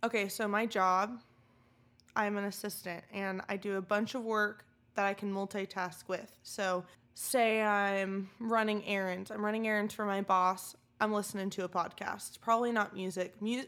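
A woman's voice: medium pitch, fairly even intonation, moderate pace, fairly bright tone. Chatty twenty-year-old woman co-host. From California, USA. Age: 20s. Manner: bubbly, chatty and candid. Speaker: podcast co-host. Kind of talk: casual chat.

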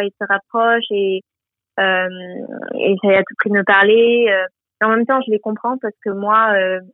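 A woman's voice: mid-range voice, dry recording. A teenage girl from southern France, a guest in a radio interview, talking cheerfully with many hesitations and restarts over the phone. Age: teens. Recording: tinny phone line.

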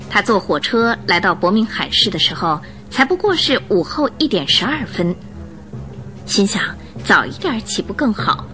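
Woman, radio drama storyteller, lively pitch movement, crisp forward tone, soft music underneath. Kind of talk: expressive scripted storytelling narration.

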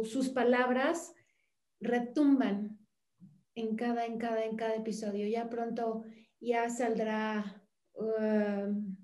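Mexican lady host, kinda high voice, lots of ums and restarts, echoey room. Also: mellow. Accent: Mexican Spanish. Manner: halting, many fillers and restarts; effusive, gushing thanks. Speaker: interview host. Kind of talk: casual interview chat.

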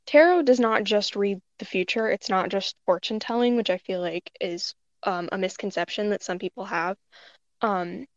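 A woman with a high voice co-hosting a casual live audio chat as a tarot reader, explaining things hesitantly. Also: dry recording, lo-fi sound.

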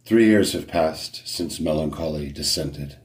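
Slow, very deep-voiced man reciting poetry. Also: reverberant. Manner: measured, dramatic pauses between phrases. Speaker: poet.

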